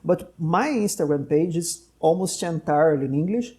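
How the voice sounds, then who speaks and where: Male doctor-host, Portuguese native, talkative and digressive, mellow tone. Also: fairly high pitch, expressive, normal speed. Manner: talkative, digressive, friendly laughs. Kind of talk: conversational podcast interview.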